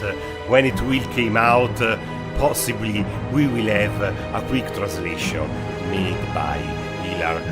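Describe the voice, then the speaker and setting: A man who speaks English as a second language, giving hesitant, semi-scripted commentary as a game mod reviewer. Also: fairly low pitch. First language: not English.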